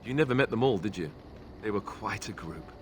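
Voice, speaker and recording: An actor playing an affable, boyish young warrior who talks in a conversational, sentimental way in a video game. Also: male voice, fairly low pitch, expressive, brisk, background noise, lightly acted. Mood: friendly, reminiscing. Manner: conversational, self-interrupting storyteller. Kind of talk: video game dialogue acting.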